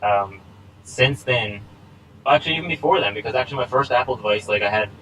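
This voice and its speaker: A man's voice: medium pitch, fast talker, a bit bright, slight background noise, poor audio. A man in his twenties co-hosting a casual podcast, rambling with plenty of fillers and laughs. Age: 20s. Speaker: podcast co-host. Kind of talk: casual chat. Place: small home setup. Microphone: laptop recording.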